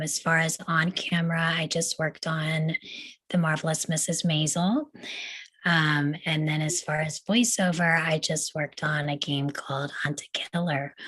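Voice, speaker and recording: A woman with a very low voice for her sex. She speaks casually, introducing herself as an actor. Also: fairly bright tone, low-quality recording.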